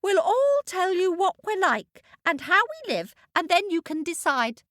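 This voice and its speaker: A tiny, eager mole squeaks in a very high, springy voice, as a woman puts it on for a storybook. The pitch darts about as the little creature talks. The sound is dry and close. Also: very animated, measured pace, a bit bright, dry recording, heavily acted.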